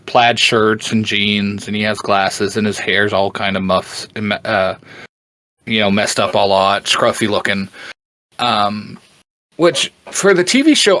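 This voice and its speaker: A man speaking as a podcast guest, explaining things casually and haltingly as he searches for words. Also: mid-range voice, fairly bright tone, no room sound. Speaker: podcast guest and fan explaining a book series.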